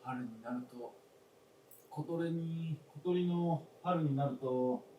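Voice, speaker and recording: A man playing a stubborn, weary elderly father in a stage radio drama, speaking bluntly and gruffly. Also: fairly high pitch, slow, dark tone. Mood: mild, weary. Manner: gruff, blunt old-fashioned speech. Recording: roomy distant stage recording, faint noise.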